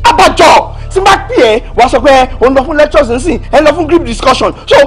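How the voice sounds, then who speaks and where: A high, quick, restless voice swings wildly in pitch as it goes. It is a controlling young man with a Nigerian accent, loud and domineering. The delivery is scornful and pushy. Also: animated, soft background music. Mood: furious. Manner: shouting, insulting, domineering. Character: jealous, controlling young man who bullies.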